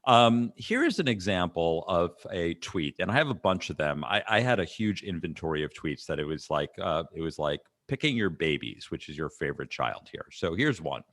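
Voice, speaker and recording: A low-voiced man co-hosts a casual political podcast. His delivery swings widely in pitch, sarcastic and incredulous. The sound is close and dry. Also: moderate pace, hardly any pauses, no room sound. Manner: animated. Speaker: podcast co-host.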